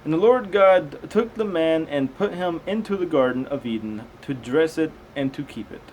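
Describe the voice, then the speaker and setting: A man's voice: on the high side, expressive, normal speed, darkish tone, a little hiss or hum, poor audio. A man reading scripture aloud, stumbling over unfamiliar words and dropping in casual, conversational comments.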